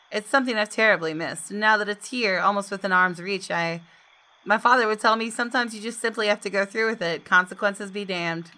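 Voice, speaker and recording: A woman's voice: mid-range voice, bright, forceful tone. A woman gaming YouTuber reading visual novel narration aloud expressively, with casual asides.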